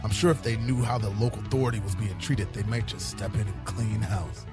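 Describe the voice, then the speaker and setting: A man playing a world-weary, cynical police detective in a fan-made sci-fi noir audio drama, terse and deadpan. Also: lowish voice, fairly quick, over music, natural voice. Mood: threatening. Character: world-weary hard-boiled male police detective in space.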